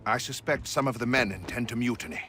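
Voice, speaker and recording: An actor playing a gruff, resentful sailing-ship captain in a video game, stern, blunt and formal. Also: male voice, medium pitch, fast, music in the background, close to an everyday voice. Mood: grudging, wary. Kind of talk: video game cutscene acting.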